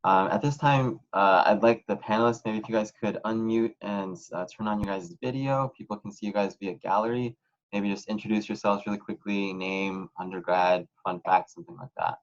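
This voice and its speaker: A young man with a low voice moderates an online panel from home. He speaks in a loose, easygoing way, full of ums and uhs. The video call audio sounds muffled and a little dull. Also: moderate pace. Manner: relaxed, many "um"s and "uh"s.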